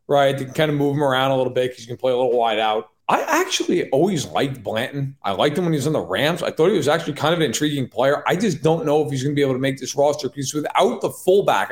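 A man giving quick, opinionated sports analysis as a podcast guest, with wry joking asides. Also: mid-range voice. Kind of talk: casual sports analysis chat. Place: live-streamed podcast.

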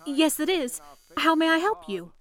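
A woman playing a polite, anxious young telephone operator in an old-fashioned radio drama, with courteous, soft-spoken reassurance. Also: high-pitched, big pitch swings, moderate pace. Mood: polite, businesslike. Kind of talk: 1940s radio drama acting.

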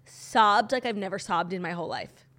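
A woman co-hosting a podcast in casual chat, her voice a little hoarse, talking in a gushing rush full of "like". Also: medium pitch, fairly bright tone. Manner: gushing, fast, heavy use of "like". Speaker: podcast co-host.

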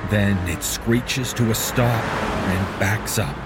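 A man reading a scripted second-person horror story in a measured, deliberate manner. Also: on the low side, over loud music.

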